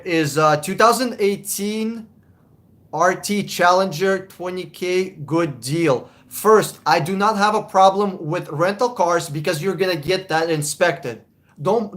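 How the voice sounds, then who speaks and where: A man's voice: sits high, animated, measured pace, a bit bright. A young man from Russia, hosting a casual live Q&A about buying cars, blunt and chuckling as he swears. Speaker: car buying advisor, livestream host. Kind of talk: live-stream Q&A with chat.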